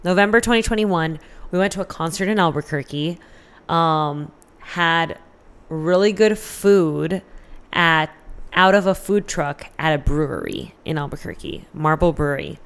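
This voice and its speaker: A woman's voice: sits low, animated, a little slow, gravelly, clear, resonant tone. An American woman chatting casually as an interview guest, candid and informal, swearing freely as she tells personal stories.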